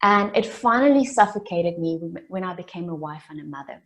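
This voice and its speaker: A South African woman in her late twenties, a native Afrikaans speaker, giving an earnest motivational keynote that builds its story through deliberate repetition. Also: fairly low pitch, lively intonation. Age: late 20s. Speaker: summit speaker.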